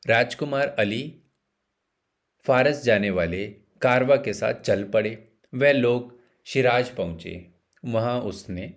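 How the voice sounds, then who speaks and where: Male narrator for bedtime tales, mid-range voice, unhurried and measured, with pauses between phrases. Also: mid-range voice. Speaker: storyteller. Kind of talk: scripted bedtime story narration.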